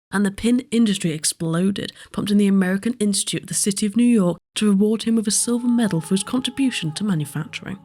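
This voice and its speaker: A woman's voice: medium pitch, darkish tone. A woman reading scripted history narration as a voice-over.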